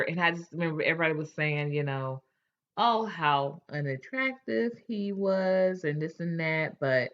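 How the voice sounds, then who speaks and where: A woman's voice: low-pitched, pushed and bright, muffled recording. A woman hosting a casual chat, candid and chatty, often restarting her sentences. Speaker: host.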